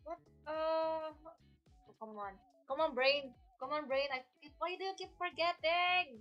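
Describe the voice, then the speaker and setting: A woman's voice: sits high, a little slow, dull audio, faint music behind. A woman co-hosting a casual chat, hesitant at times and searching for words. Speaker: co-host.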